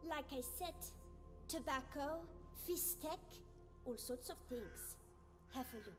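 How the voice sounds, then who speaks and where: Sly street dealer in a fantasy game, high voice, fake sweet and condescending, music playing. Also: female voice, animated, slow. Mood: sly haggling. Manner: condescending, sugary endearments. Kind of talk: fantasy video game acting. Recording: music behind the voice.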